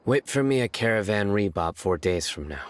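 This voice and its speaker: A grave young enforcer in a story-driven game, his voice sitting very low and firm, as a man plays him. He speaks in a measured, resolute way, promising to handle danger himself. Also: lively intonation, slight background noise, close to an everyday voice. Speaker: stern desert lawman. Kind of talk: game dialogue acting.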